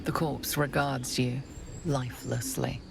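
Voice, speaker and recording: A woman narrating a dark fantasy video game in a solemn, measured and ominous manner. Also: very deep voice, flat delivery, creaky, noisy background, exaggerated voice.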